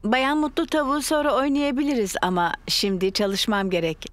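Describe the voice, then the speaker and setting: A woman voicing a patient, cheerful cartoon mother pig with a gentle, sing-song motherly manner. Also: fairly high pitch, fairly quick, natural voice. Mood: calm. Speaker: Mummy Pig voice in a cartoon dub. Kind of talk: cartoon dubbing.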